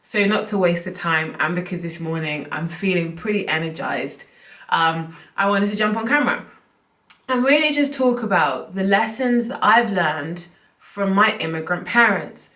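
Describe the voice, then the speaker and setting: Croaky young woman vlogging. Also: on the low side, expressive, normal speed, pushed and bright. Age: young adult. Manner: chatty, earnest, loose run-on phrasing. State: croaky, short of breath from illness. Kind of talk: casual talk to camera. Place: room at home. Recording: roomy, from a distance.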